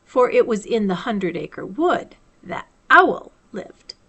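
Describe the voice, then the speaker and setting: A woman reading a children's storybook aloud as a library storyteller, with measured, deliberate phrasing. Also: mid-range voice, sing-song, pushed and bright.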